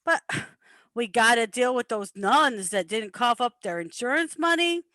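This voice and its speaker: A chatty, deferential mob henchman played by a woman in a radio comedy play. The voice is high and bright with very lively pitch, rambling and placating, in a dry-sounding recording. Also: unhurried, no echo. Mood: grumbling. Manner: rambling, hesitant, placating wiseguy patter. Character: talkative, deferential male mobster sidekick. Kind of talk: amateur old-time radio comedy play.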